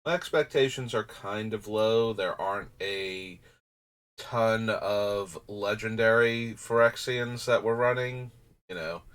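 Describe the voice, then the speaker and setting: A man with a slightly low, stuffy-nosed voice, chatting to a live stream as he sorts through cards. He rambles and thinks out loud, full of "um" and "like", and his nasal tone is clear throughout. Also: slow pace. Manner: rambling, thinking aloud with fillers. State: runny nose. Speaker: streamer building a card game deck. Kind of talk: casual live-stream talk.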